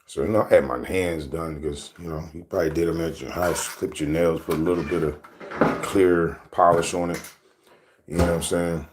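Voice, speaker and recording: A man in his thirties with a deep voice, telling loose, rambling stories to his camera as a casual vlog and laughing often. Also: fairly even intonation, moderate pace, noisy background. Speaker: vlogger.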